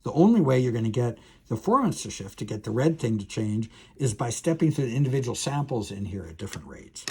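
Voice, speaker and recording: A man's voice: mid-range voice, very animated, fast, breathy edge. A man lecturing as a professor on a technical subject, explaining step by step in a hesitant, thinking-aloud way with self-corrections.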